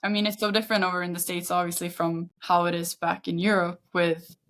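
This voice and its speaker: Swedish woman in her thirties, lower-pitched voice. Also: little pitch movement, brisk, a bit bright. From Sweden. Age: 30s. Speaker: podcast guest, football agent. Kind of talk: casual interview chat.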